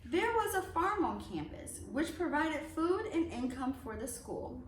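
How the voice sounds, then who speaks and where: A woman reading a children's picture book aloud in an expressive storytelling way. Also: sits high, measured pace. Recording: echoey room, distant mic, faint noise.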